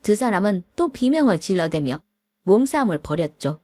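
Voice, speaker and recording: A woman voicing a middle-aged husband who calmly retells how his wife fought an old classmate, in polite storybook narration. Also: medium pitch, no room sound, clean studio sound. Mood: recounting. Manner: measured, recounting in polite speech.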